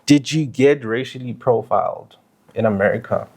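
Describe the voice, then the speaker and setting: A woman with a South African accent and a strikingly deep voice. She hosts a casual interview, tossing out blunt, slangy remarks and short questions between her guest's stories. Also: moderate pace, darkish tone, husky. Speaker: podcast host.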